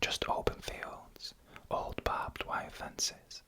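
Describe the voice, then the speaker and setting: A narrator telling eerie stories in a breathy, unvoiced whisper, in deliberate short phrases for ASMR. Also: fairly bright tone. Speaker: ASMR storyteller.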